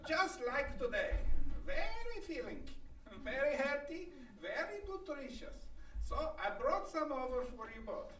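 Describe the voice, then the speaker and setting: A woman's voice: sits high, very animated, measured pace, fairly bright tone, heavily acted. A woman playing an effusive old immigrant grandmother with an Eastern European accent and broken English in a live radio comedy. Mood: cheerful, proud. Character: chatty old immigrant grandmother neighbor bringing food. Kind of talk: old-time radio comedy acting before a live audience. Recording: roomy live stage, distant mic, faint noise.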